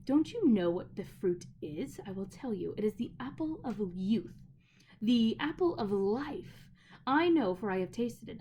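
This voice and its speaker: A woman reading aloud voices a proud, menacing witch queen who commands and then coaxes in a hushed voice. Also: medium pitch, a little hiss or hum, natural voice.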